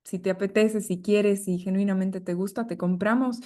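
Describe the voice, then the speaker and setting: Warm-toned Ecuadorian woman in her thirties. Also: medium pitch, fairly even intonation, normal speed. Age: 30s. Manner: reflective, gentle, with hesitant repetitions. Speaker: guest nutritionist. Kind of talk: conversational podcast interview.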